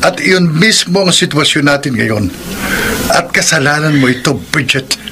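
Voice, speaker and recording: A man's voice: fairly high pitch, expressive, normal speed. Reproachful married man, noisy recording. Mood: blaming, bitter. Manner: accusing, emphatic. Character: reproachful married man blaming his mistress, torn toward family. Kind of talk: Filipino radio drama acting. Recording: clearly noisy radio drama track.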